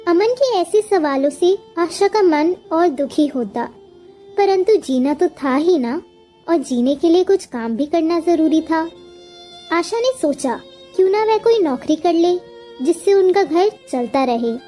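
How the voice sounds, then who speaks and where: A woman's voice: extremely high pitch, lively intonation, unhurried, mellow, faint music behind. A woman narrating a scripted moral story as a storyteller.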